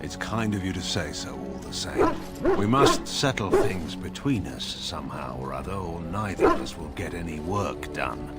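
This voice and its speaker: Veteran male warrior noble, middle-aged, sardonic, weary, proud, grave formal phrasing, very expressive intonation, fantasy game dialogue, music behind. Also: deep voice, moderate pace. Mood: wry, resigned.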